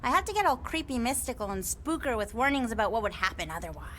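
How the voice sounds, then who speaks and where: A woman's voice: a little high, sing-song, fast talker, slight background noise. An actress playing a quirky, playful female alien mystic in a video game, with a whimsical, sing-song delivery. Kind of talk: video game cutscene acting.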